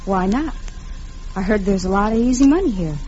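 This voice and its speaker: A woman's voice: medium pitch, animated, brisk, warm tone, soft background music, natural voice. An actress playing a hard-bitten, provocative drifter saloon girl in a radio western. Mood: brittle, sweet. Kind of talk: 1950s radio western acting. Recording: dull, noisy vintage radio recording.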